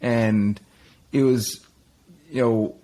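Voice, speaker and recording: A man's voice: mid-range voice, steady tone, drawn-out words, warm tone, slight background noise, rough recording. A middle-aged man from Denmark, talking candidly as an interview guest, with frequent restarts and fillers as he reflects on his life. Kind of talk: reflective personal conversation.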